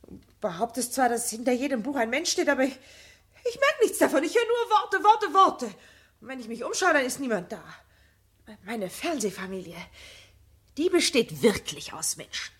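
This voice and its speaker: An actress playing a petulant, shallow, anxious wife hooked on television, in a 1970 German radio drama. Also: female voice, high-pitched, very animated, normal speed, fairly bright tone, slightly rough, exaggerated voice. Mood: fed up. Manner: petulant, agitated, repeating words.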